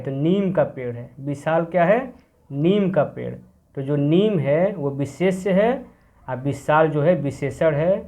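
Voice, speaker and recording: A man's voice: on the high side, darkish tone, muffled recording. Man teaching grammar to young children. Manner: asks questions and answers them himself, repeating key words; mixes English words into the explanation. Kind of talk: explaining a grammar workbook to children.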